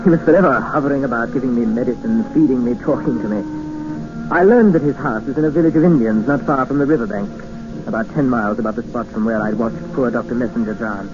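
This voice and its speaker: An actor with an English accent narrating and playing a polite, reserved gentleman castaway in a 1950s radio drama. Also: medium pitch, fast talker, lightly acted. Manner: polite, understated, reflective narration. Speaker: narrator and lead character. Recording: muffled 1950s radio broadcast with music score.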